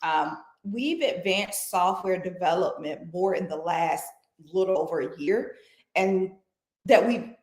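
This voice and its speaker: A woman guest in an interview who speaks in an animated, persuasive, conversational way. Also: on the low side, a little slow. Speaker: guest executive. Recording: echoey room sound.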